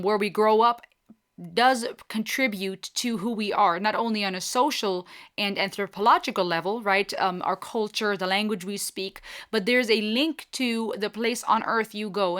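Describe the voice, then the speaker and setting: A woman's voice: a little high, a bit bright. A bubbly, talkative woman from Germany hosting a reaction video, musing thoughtfully as she comments.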